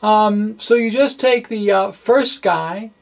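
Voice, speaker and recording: A science blogger gives a dramatic reading of technical writing at a very slow, measured pace. The pitch sits in a middle range, and the phrasing is deliberate and theatrical, loosening into casual, informal asides. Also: vocal fry. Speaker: science blogger reading own blog aloud.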